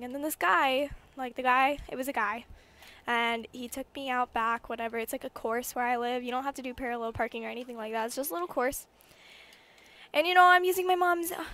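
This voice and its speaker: A woman of eighteen with a high, bright voice chats casually while gaming. She tells personal stories in an animated, rambling way, repeating herself and swearing freely. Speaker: gaming YouTuber. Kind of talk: casual personal storytelling over gameplay.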